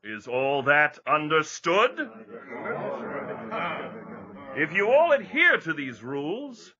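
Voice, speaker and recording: A man's voice: on the high side, big pitch swings, slow pace, pushed and bright, dull audio, exaggerated voice. An actor playing a strict male sea captain laying down rules for his crew, speaking in a stern, commanding way. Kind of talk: audio drama acting.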